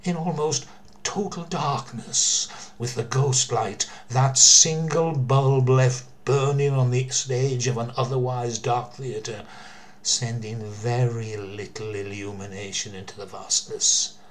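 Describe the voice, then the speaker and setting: An older man with a mid-pitched voice narrates a mystery as a storyteller. His delivery is theatrical and deliberate, leaning on key words and pausing for drama. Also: fairly even intonation. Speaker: storyteller, former theatre worker.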